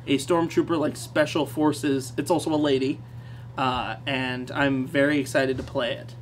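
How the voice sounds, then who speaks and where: A man co-hosting a podcast in casual chat. He is excitable and laughs often. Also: medium pitch, normal speed, slight background noise. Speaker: podcast co-host.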